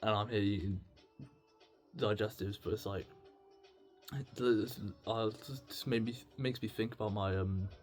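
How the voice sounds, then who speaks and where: A man's voice: lowish voice, fairly even intonation, darkish tone. A British man speaks off the cuff, as if into a personal video diary, with faint music playing behind him. His delivery is casual and confessional. He rambles and halts, restarting thoughts and putting himself down as he goes. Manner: rambling, halting, self-deprecating. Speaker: video diarist. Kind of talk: casual unscripted confessional monologue.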